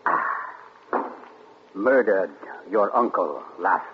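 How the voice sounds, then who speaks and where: An actor playing an urbane, theatrical mystery writer in 1940s radio drama style, speaking in a suave, deliberate storytelling manner. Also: male voice, low-pitched, lively intonation, full character voice. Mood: suave, teasing. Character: urbane, theatrical male mystery writer recounting a murder case. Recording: muffled, noisy 1940s radio recording.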